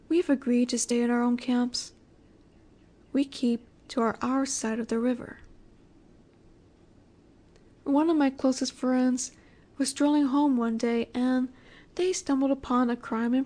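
High-voiced, flirty female mafia boss, acted by a woman. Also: warm tone, lightly acted. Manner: coy, teasing, deliberate, sometimes breathy. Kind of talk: scripted audio roleplay, addressing the listener.

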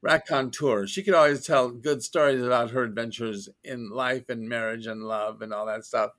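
A man with a middle-pitched voice tells stories in a loose, joking way. He restarts his sentences often and lands dry punchlines as he goes. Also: fairly even intonation, moderate pace, a bit bright. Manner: wry, joking storyteller with restarts. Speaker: guest, science fiction author. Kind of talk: casual interview chat.

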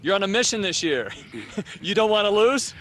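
A man interviewing ski racers at the finish area of an outdoor race, asking quick, upbeat questions. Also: high-pitched, lively intonation, fairly bright tone. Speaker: sideline interviewer.

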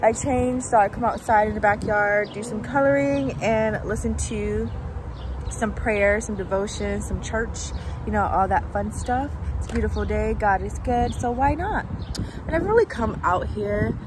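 A woman's voice: mid-range voice, moderate pace, background noise. A woman in her twenties chatting casually to her phone camera as a lifestyle vlogger, rambling and correcting herself as she goes. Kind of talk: casual vlog chat to camera. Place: bedroom indoors, later backyard outdoors.

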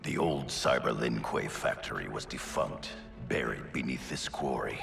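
An actor playing a stern, stoic veteran ninja clan leader in a video game, speaking gravely and formally. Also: male voice, moderate pace, music in the background, full character voice. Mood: measured, serious. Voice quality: deep, gravelly. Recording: loud score behind.

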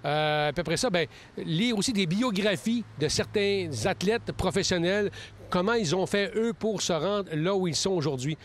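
Middle-aged Quebec man, kinesiologist guest, earnest and explanatory, slightly raised pitch, recorded outdoors on a handheld mic. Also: normal speed. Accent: Quebec French. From Quebec, Saguenay-Lac-Saint-Jean. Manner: earnest, explanatory, with frequent restarts. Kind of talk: casual interview answers. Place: outdoors in a leafy park. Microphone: handheld mic held close.

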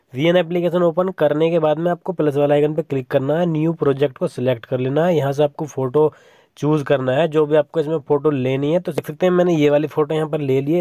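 A man's voice: on the high side, no room sound, clean studio sound. A young man, giving step-by-step instructions as a tutorial channel host over a screen recording of his phone. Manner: steady step-by-step instructions, repeats "simple" often.

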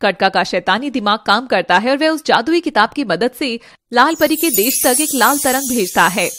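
A woman's voice: a little high, expressive, moderate pace, a bit bright. A woman narrator reading a scripted story in a dramatic storytelling style.

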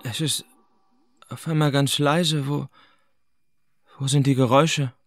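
A man's voice: medium pitch, steady tone, lightly acted. An actor playing a flustered, easily exasperated male cruise passenger who stammers and halts, in naturalistic radio drama style. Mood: bewildered, alarmed. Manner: stammering, halting. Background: music.

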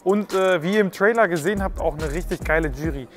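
Upbeat guy hosting a football show, high voice, light music under it. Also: fast. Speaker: host. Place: indoor football hall.

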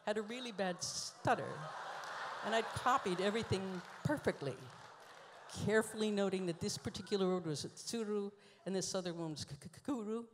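A woman telling dry, musing stories with deliberate pauses, performing a spoken-word monologue into a stand microphone on a large theater stage. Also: medium pitch, noisy background. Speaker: performance artist reading her book on stage. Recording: live hall reverb.

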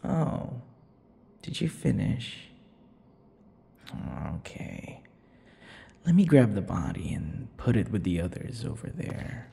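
A man playing a possessive vampire lover in an intimate ASMR roleplay, teasing and menacing, murmuring slowly with drawn-out words. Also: sing-song, mellow, exaggerated voice. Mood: doting, casual. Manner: slow, breathy murmurs, drawn-out words, low chuckles. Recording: long reverb, faint background noise.

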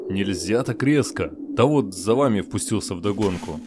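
A man's voice: on the low side, animated, normal speed, background noise, natural voice. A man voicing a fussy, old-fashioned priest in a cartoon. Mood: startled, scolding. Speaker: voice actor. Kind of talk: cartoon dub acting.

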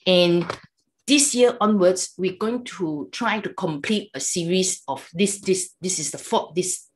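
An older woman with a Malaysian English accent, native in Cantonese, giving an online Buddhist talk over a video call from a home room, chatty and halting, tagging lines with "okay" and "lah". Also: lowish voice, a little hiss or hum. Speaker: Buddhist teacher. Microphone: video call webcam.

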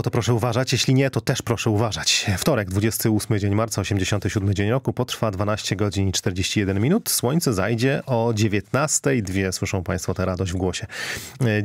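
Playful lower-voiced male radio presenter. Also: no room sound, clean studio sound. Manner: playful, light joking. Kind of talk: casual morning radio chat.

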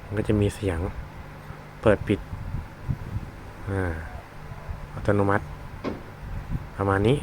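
A man giving a casual, unscripted product demonstration, explaining informally and haltingly as he searches for words. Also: fairly low pitch, fairly even intonation. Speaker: product reviewer demonstrating a gadget. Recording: noisy, with vehicle sounds behind.

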